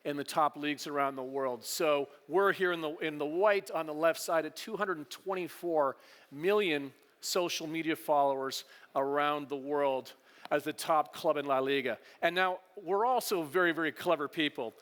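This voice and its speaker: A man speaking to a conference audience in a fairly high voice, which carries long reverb from the large hall. He stays relaxed, drops in joking asides and fills pauses with "uh". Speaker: conference speaker, partnerships executive. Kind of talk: business presentation to a live audience.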